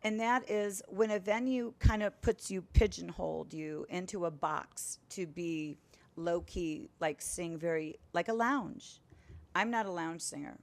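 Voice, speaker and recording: A middle-aged woman entertainer, a guest in an interview, talking in a casual, animated and emphatic way. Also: lowish voice, a little slow. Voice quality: powerful voice.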